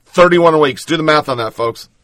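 A man's voice: on the high side, normal speed, fairly bright tone, dry recording. A man hosting political commentary, reading news and then ranting bluntly with sarcasm and profanity.

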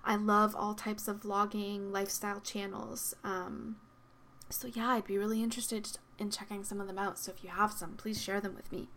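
A young woman hosting her own podcast, talking in a soft, reflective way with frequent ums and likes, her voice slightly worn. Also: medium pitch, level tone, moderate pace, slight background noise. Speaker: podcast host. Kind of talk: solo podcast monologue. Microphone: podcast microphone.

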